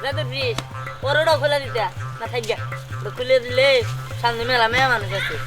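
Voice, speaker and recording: A woman's voice: high-pitched, fairly quick, over loud music, close to an everyday voice. A woman playing a cocky, boastful village boy in a fairy-tale drama, taunting. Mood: smug.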